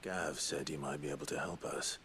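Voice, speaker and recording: An actor playing a steady, resolute male hero in a video game, speaking in short, terse lines. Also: extremely low pitch, moderate pace, slight background noise. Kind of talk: game dialogue.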